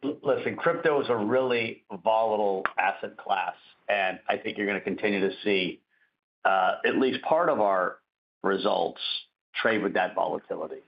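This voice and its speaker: A man with a gravelly, lowish voice answers questions as a company executive on an earnings call. His phrasing is conversational and informal. The sound lacks top end. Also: normal speed. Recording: dull conference-call audio.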